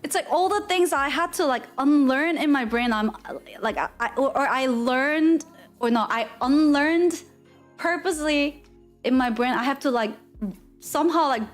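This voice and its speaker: A woman's voice: high voice, moderate pace, faint music behind. A young woman from Korea, streaming and chatting casually with viewers, full of fillers and laughs.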